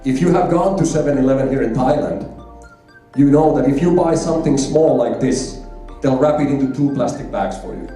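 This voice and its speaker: A man's voice: medium pitch, fairly quick, warm tone, soft background music. A man from Finland giving an emphatic conference presentation, repeating key figures for effect. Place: large conference hall. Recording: echoey hall, heard from far off.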